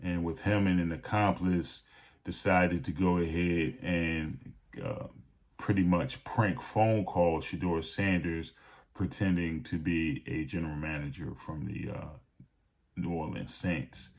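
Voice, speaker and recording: Give the host a low-pitched male voice. He talks casually to his viewers about sports, picking through his points in a deliberate, halting manner. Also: fairly even intonation, creaky, a little mellow.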